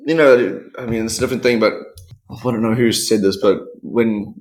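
A man talks in a fairly low voice, telling stories in an animated, rambling way. He speaks candidly as a podcast guest and acts out quotes as he goes. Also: moderate pace, low-quality recording. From South Africa. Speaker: podcast guest, professional surfer. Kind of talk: candid interview conversation.

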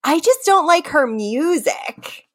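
Wry woman with a lively, swooping pitch. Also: slow pace, dry recording, clean studio sound. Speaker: podcast co-host. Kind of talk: casual chat.

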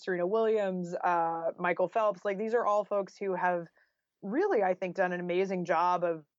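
A woman's voice: on the low side, dry recording, muffled recording. A woman author talking as an interview guest in a casual, thoughtful way, often dropping in "like" and "you know". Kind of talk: casual, reflective interview talk.

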